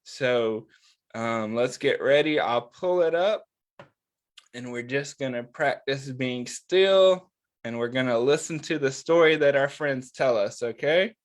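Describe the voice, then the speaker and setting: A man with a middle-pitched voice and an unhurried pace leads a storytime for young children. He speaks in simple, reassuring phrases, stretches them out and often checks in with his listeners. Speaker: children's storytime host reading a picture book. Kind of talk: storytime read-aloud and chat to young children.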